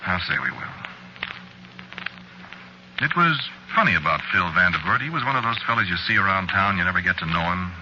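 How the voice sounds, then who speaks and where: On a dull, noisy old radio recording, a shifty American store clerk confiding a dark tale. His voice sits low and swings widely in pitch. He talks in slangy, folksy, offhand 1940s suspense style, with the cool air of a killer. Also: lively intonation, fairly quick, pauses often, full character voice. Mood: chillingly offhand. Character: shifty working-class store clerk, coolly confessing killer. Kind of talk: 1940s radio suspense acting, confiding first-person narration.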